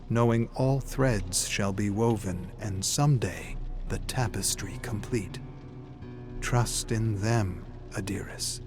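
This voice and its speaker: A man delivering grave, deliberate, theatrical narration of a dark fantasy story. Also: on the low side. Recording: music behind the voice. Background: dramatic music.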